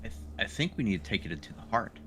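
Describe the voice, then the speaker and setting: A man's voice: fairly low pitch, big pitch swings, racing, a bit bright, slight background noise. A man taking part in a tabletop roleplaying game as a player, in casual table talk.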